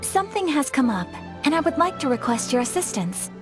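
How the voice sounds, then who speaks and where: A woman playing a refined, gentle young noblewoman in a video game, speaking formally and courteously in a measured way. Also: sits high, sing-song. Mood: polite, composed. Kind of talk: animated video game voice acting. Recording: game music behind the voice.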